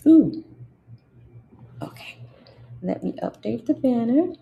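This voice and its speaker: A woman's voice: a little high, expressive, slow pace, creaky, phone-quality sound. A middle-aged woman with a congested voice, hosting a casual livestream and chatting in a drawling way with asides to her viewers. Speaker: livestream host.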